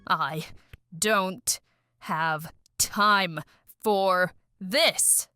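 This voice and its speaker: An actress playing a cool, teasing society femme fatale in an animated comic, wry and languid. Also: female voice, fairly low pitch, a little slow, dry recording, clean studio sound, faint music behind, lightly acted. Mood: impatient.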